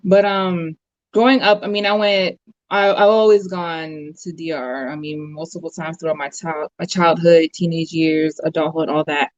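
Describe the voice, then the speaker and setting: A woman's voice: deep voice, steady tone, normal speed, no echo. Reflective woman on a muffled call. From United States. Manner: reflective, many fillers and restarts. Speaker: guest. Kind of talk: casual conversation.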